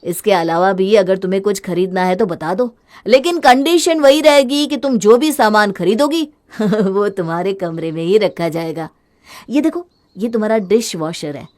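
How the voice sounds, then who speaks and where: A woman's voice: mid-range voice, a little mellow, voice pushed down, lightly acted. A woman voicing a young husband in a storybook drama, fed up with his wife's spending and mocking her sarcastically. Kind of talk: storybook voice acting.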